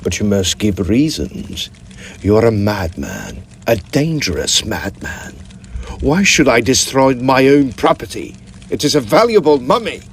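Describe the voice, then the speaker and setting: A man's voice: mid-range voice, very animated, measured pace, a little hiss or hum. An actor playing a scheming, defiant male student occultist in a period audio drama, theatrical and protesting. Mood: indignant.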